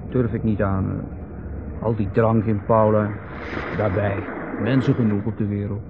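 An actor playing a placating, wry husband in a 1970s radio drama, soothing, teasing and at times hesitant. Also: male voice, lowish voice, little pitch movement, measured pace, background noise. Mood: awkward, hesitant. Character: placating, wry husband, timid younger brother. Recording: dull, distant old radio recording.

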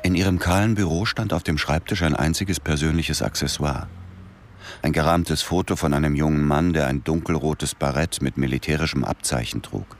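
A man's voice: a bass voice, moderate pace, slight background noise. An actor playing a grieving, hard-drinking man who narrates a radio thriller in terse, clipped inner monologue. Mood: brooding. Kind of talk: radio play first-person narration. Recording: loud music bed.

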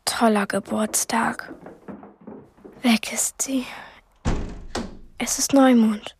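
High-voiced, sardonic young girl. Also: fairly even intonation, extremely slow, darkish tone, a little hiss or hum. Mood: wry, uneasy. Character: plucky, sardonic twelve-year-old girl heroine. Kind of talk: radio drama acting and first-person narration.

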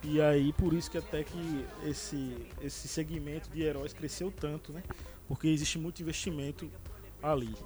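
A man's voice: fairly high pitch, steady tone, normal speed, over music. A man hosting a casual podcast about films, talking with many fillers and restarts. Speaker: podcast host. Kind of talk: casual movie chat.

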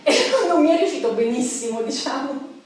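A woman's voice: sits high, expressive, moderate pace. An actress playing a chatty, neurotic left-wing bourgeois woman in an Italian radio drama, rambling and correcting herself with nervous laughter. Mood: confiding, nervous. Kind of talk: radio drama acting before an audience. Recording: echoey, distant microphone, faint noise.

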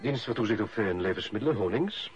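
A man's voice: fairly low pitch, animated, fast talker, noisy background, natural voice. An actor playing a self-important official and club chairman in a radio drama, pedantic and blustering. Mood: curt, pedantic. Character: self-important male food inspector and football club chairman. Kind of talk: Dutch-language radio drama acting. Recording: dull lo-fi radio play recording.

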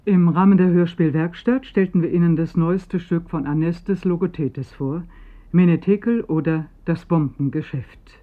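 A man formally announcing the credits of a radio play from a script. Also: a little high. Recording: narrow phone-like radio sound.

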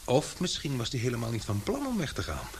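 A man acting in a Dutch radio drama as a sharp, suspicious investigator, speaking deliberately with hesitant pauses. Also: medium pitch, huge pitch swings, a little hiss or hum. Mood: grave, reasoning.

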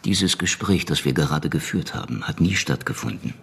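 Terse political boss type in radio crime play, really deep and husky, all business. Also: male voice, animated, moderate pace, a bit bright, exaggerated voice. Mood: cool, calculating. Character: curt, guarded male political power broker. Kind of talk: German radio crime drama dialogue.

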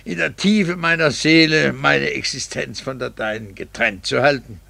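A man's voice: medium pitch, moderate pace, gravelly, bright, forceful tone, no echo, heavily acted. A man playing a bitter, jealous husband in a 1960s German radio play, with scornful, formal theatrical diction. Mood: cold scorn. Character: bitter, jealous husband, long resentful of unfaithful wife.

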